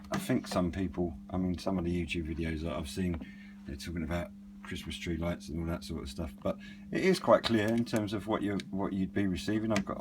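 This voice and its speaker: A middle-aged British man giving a casual, unscripted product demonstration in a hesitant, understated, meandering way. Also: deep voice. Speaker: radio hobbyist and reviewer. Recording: clearly noisy with a steady hum.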